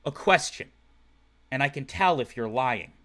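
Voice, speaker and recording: A man voicing a calm, stern police officer who speaks quietly and measuredly. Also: mid-range voice, a little slow, voice pushed down.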